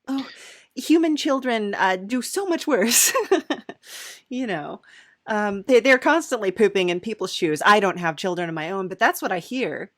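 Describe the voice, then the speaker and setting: A woman playing an eager, servile devil's apprentice hosting a comedy podcast, gushing, halting and apologetic. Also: mid-range voice, animated, fairly bright tone. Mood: eager, chatty. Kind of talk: improvised character comedy interview.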